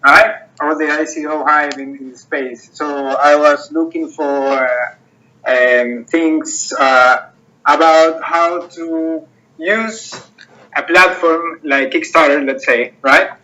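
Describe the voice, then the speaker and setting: A man, the project owner, talking about his project in a casual interview and filling pauses with lots of "uh"s. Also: fairly high pitch, fairly even intonation, sharp tone, lo-fi sound. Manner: hesitant, many fillers and restarts.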